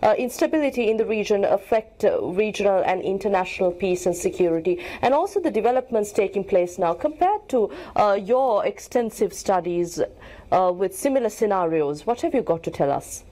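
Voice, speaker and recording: A woman's voice: mid-range voice, lively intonation, brisk, mellow. A woman from Sri Lanka interviewing guests, asking long questions with many hesitant ums and uhs.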